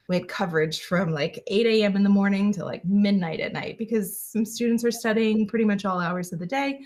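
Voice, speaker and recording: A woman's voice: medium pitch, darkish tone. A woman guest on an interview podcast, answering in a chatty way with many fillers and restarts. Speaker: guest, engineer.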